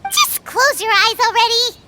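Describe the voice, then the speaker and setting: A woman's voice: extremely high pitch, very animated, measured pace, very noisy, heavily acted. A woman voicing a tiny, childlike fairy companion in a video game, blunt and cheeky. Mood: cheeky, impatient. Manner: blunt, teasing. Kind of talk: video game character acting.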